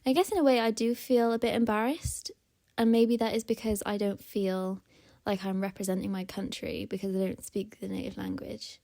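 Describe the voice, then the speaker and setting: A young woman from South Wales talking in a soft, reflective, hesitant way during a recorded interview. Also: mid-range voice, fairly even intonation, normal speed, creaky.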